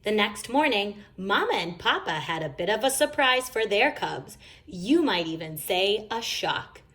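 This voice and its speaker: Animated woman reading a children's story. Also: mid-range voice, unhurried. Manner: animated, emphatic. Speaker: storybook reader. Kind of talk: expressive children's story read-aloud.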